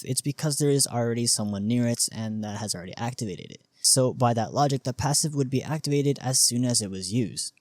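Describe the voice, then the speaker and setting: A man's voice: medium pitch, a little mellow, no room sound. A man hosting a video, narrating a scripted explainer on game design with informal asides. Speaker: video creator and host. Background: music behind the voice.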